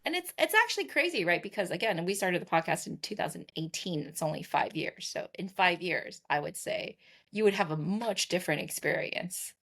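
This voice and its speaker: A woman's voice: on the low side, lively intonation, a little fast, fairly bright tone. A woman guest in a remote interview, talking casually with lots of "like" and "right?", laughing easily. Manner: chatty, heavy on fillers like "like" and "right?". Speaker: guest, tech investor and former podcaster. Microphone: remote call recording.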